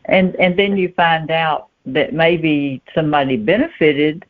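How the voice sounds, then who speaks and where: A woman giving plain-spoken, halting answers as a guest novelist in a conversational interview. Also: low voice. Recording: muffled, thin phone-line sound.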